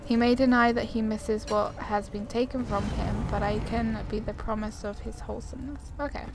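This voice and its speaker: A woman's voice: on the high side, fairly even intonation, moderate pace, a crowd in the background. A woman gamer chatting casually as she plays and reads game text aloud. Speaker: gamer playing and commenting.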